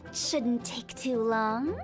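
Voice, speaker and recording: A woman's voice: a little high, takes it slowly, over loud music. A woman playing a cheerful, eccentric young funeral parlor director in an anime game, breezy and theatrical. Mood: breezy, persuasive.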